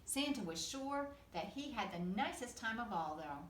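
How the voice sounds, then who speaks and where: A woman's voice: medium pitch, expressive, moderate pace. A woman teacher reading a children's storybook aloud with expression. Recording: echoey room, distant mic, faint noise.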